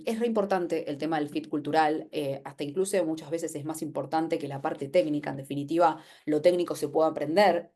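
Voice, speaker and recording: Low, mellow Argentine woman presenting. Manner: conversational, poses rhetorical questions, acts out imagined quotes. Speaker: webinar presenter. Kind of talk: informal explanatory presentation.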